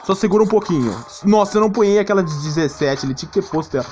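A man's voice: high-pitched, expressive, racing, mellow. A man with a Brazilian Portuguese accent giving casual live game commentary in a slangy, mildly grumbling manner. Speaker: gaming streamer. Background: game music.

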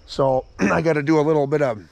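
Hoarse guy w a cold, farm vlog out in a field, keeps clearing his throat, kinda high voice. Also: steady tone, a little fast, darkish tone, no echo, low-quality recording. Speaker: farmer. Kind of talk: casual vlog narration. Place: open hay field outdoors. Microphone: handheld camera at arm's length.

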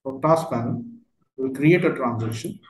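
A man working through a step-by-step software tutorial as a trainer, speaking in halting, fragmented phrases. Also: medium pitch. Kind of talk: step-by-step screen tutorial narration. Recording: roomy, echoey voice recording.

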